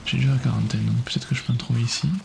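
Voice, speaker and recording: Man, streamer, gravelly voice, mid pitch, muttered live gameplay commentary, frequent swearing. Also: level tone, rapid-fire, over music. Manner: mutters to himself, swears often.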